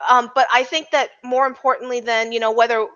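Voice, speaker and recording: A woman's voice: fairly high pitch, flat delivery, fairly quick, fairly bright tone. A woman giving earnest, opinionated takes in a casual roundtable film discussion. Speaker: podcast panelist. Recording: slightly dull, lo-fi audio.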